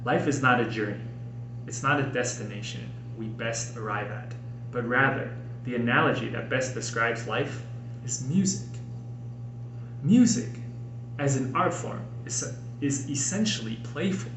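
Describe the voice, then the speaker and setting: A man in his late thirties reading his own personal writing aloud, earnestly and emphatically, with dramatic pauses. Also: mid-range voice. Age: late 30s. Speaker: author and podcast host reading own book. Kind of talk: expressive audiobook-style personal narration. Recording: roomy echo, faint background noise.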